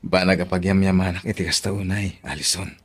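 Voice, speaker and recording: A man's voice: deep voice, steady tone, a little fast, slightly rough. A man playing a sweet-talking, proud young boyfriend in a Filipino radio drama, crooning and a little hesitant. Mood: romantic.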